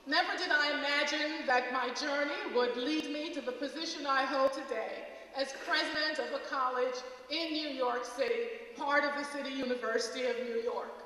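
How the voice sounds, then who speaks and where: A woman's voice: high-pitched, sharp tone, a little hiss or hum. A middle-aged woman from Jamaica, a college president, giving a stately formal welcome speech to an audience. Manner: measured, stately address. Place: large auditorium. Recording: echoey hall recording from far off.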